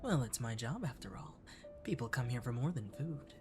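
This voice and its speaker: Man playing a soft, whispery catboy waiter. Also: mid-range voice, expressive, normal speed, music in the background, natural voice. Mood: gentle, caring. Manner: soft-spoken, drifting into whispers. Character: attentive male catboy cafe waiter, gentle, playfully flirty. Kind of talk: ASMR roleplay.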